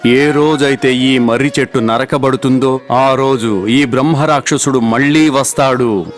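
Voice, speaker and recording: A man's voice: medium pitch, steady tone, unhurried, close to an everyday voice. A man playing a grave, kindly village sage in a children's cartoon story, over music. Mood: reassuring.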